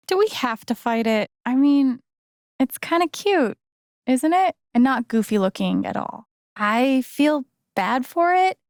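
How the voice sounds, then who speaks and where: An actress playing a kind, playful young woman in a video game, speaking in a casual, gentle, naturalistic way. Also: mid-range voice, dry recording, clean studio sound. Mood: playful, hesitant. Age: young adult.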